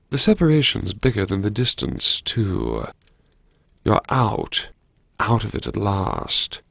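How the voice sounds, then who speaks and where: Casting: male actor as wry, haunted vice-chancellor for audiobook monologue; low-pitched, expressive swings, brooding self-address. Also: vocal fry, dry recording, studio quality, full character voice. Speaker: audiobook performer. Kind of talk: dramatic first-person interior monologue.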